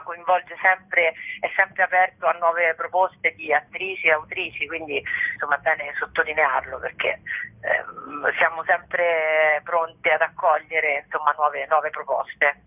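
A woman's voice: low-pitched, fairly even intonation, a little slow. A woman chatting casually by telephone as an actress interviewed on the radio. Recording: tinny, narrow telephone line.

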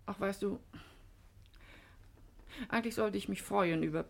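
An actress playing an anxious, pleading wife, fretful and urgent, in a 1950s German radio play. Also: female voice, medium pitch, measured pace, vocal fry. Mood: worried.

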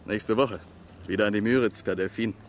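A man acting a laconic, wry colleague in a 1970s East German radio crime drama, speaking in a deadpan way. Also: lowish voice, fairly quick, a little hiss or hum, natural voice. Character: laconic, wry male colleague making small talk. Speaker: actor.

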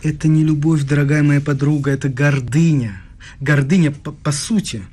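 A man's voice: fairly high pitch, fairly even intonation, normal speed, mellow, husky. An actor playing a jealous young male student with a sardonic, needling manner in a radio play. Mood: bitter, earnest.

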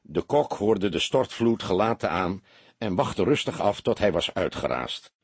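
A man narrating a detective story as an expressive, scripted audiobook reading. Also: on the low side, unhurried, husky, dry recording.

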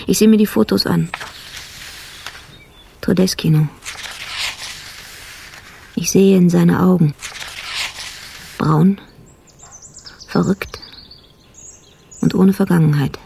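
An actress playing a weary, exasperated police investigator in a radio drama, speaking in terse, clipped sentences. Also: female voice, on the low side, takes it slowly, vocal fry, pauses often, warm tone, a little hiss or hum, exaggerated voice. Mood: tired, tense. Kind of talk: radio drama monologue and dialogue.

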